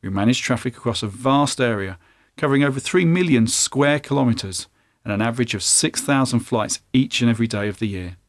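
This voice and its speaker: A man, presenting a scripted explainer in a clear, measured way, addressing the listener directly. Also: mid-range voice, expressive, dry recording, studio quality. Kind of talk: scripted narration to camera. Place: studio, indoors.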